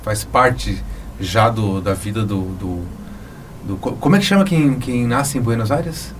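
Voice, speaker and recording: A man's voice: on the low side, measured pace, noisy background. A man with a Brazilian accent interviewing a guest casually as the host of a show.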